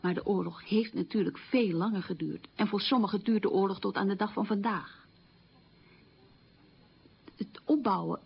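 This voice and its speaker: Woman in her middle years looking back on wartime childhood, creaky voice, thoughtful radio monologue, muffled old recording. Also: mid-range voice. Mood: grave, reflective. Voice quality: husky, creaky. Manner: halting, reflective, emphatic repetition. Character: reflective woman recalling childhood hunger in wartime. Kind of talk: radio play monologue, conversational reminiscence.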